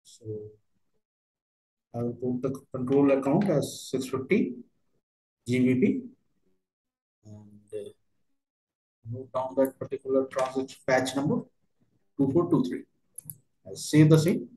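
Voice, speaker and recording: Male software trainer, mid-pitched, halting step-by-step screen narration, roomy echo. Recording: roomy, echoey voice recording.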